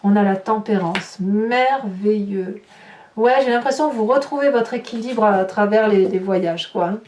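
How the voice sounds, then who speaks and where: A woman giving a tarot reading to her viewers, speaking slowly and emphatically in a reassuring, direct way. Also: mid-range voice, a little mellow, far from the mic, a little hiss or hum. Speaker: tarot reader. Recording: roomy, from off-mic.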